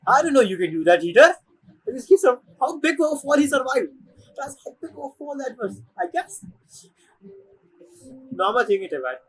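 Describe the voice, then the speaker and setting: Animated high-pitched man commenting on a game. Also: moderate pace, long pauses, rough recording. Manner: excitable, rambling, swearing. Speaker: game streamer. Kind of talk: casual gameplay commentary.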